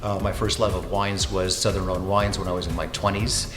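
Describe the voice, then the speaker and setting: A man's voice: sits low, flat delivery, brisk. A man in his sixties, a winery owner and winemaker whose first language is Finnish, giving casual, rambling interview answers full of fillers and self-corrections. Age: 60s. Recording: echoey room with long reverb and music.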